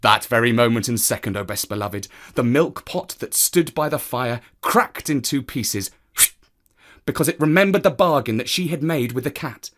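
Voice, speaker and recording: A storyteller reading a children's tale in an expressive, theatrical way, speaking straight to the listener. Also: medium pitch, moderate pace.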